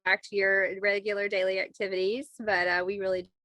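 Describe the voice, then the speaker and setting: A woman's voice: mid-range voice, level tone, a little fast, bright, forceful tone, no room sound. A woman leading an online committee meeting, talking in a semi-formal, conversational way as facilitator. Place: video call.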